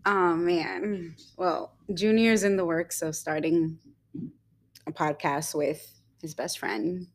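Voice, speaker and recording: A young woman co-hosting a casual podcast, talking in a rambling way with many fillers and quick giggles. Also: lowish voice, fairly even intonation. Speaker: podcast co-host.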